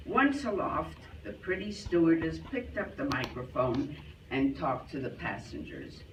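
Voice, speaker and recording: Slow, animated man telling jokes in an echoey hall. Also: fairly high pitch. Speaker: joke teller at a club show. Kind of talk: telling scripted jokes to a live audience. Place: club hall, live performance. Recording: echoey hall, distant, faint noise.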